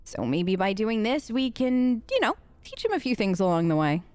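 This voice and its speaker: A woman's voice: mid-range voice, sing-song, moderate pace, a little mellow, no room sound. A woman hosting a role-play let's play, improvising her character's lines in casual, earnest, informal talk.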